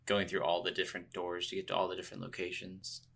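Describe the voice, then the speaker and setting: A man's voice: sits low, completely flat delivery, quick pace, creaky, a bit bright, slightly rough. A man co-hosting a video game podcast in casual, unscripted chat, agreeable and easygoing. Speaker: podcast co-host reviewing video games.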